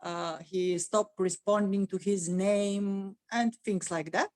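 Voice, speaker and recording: A middle-aged woman from Bulgaria with a Bulgarian accent, speaking as an interview guest, pausing often with "uh" and restarting her sentences. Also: medium pitch, fairly even intonation, darkish tone, no echo. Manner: halting, many "uh" fillers and restarts. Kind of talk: conversational interview answer.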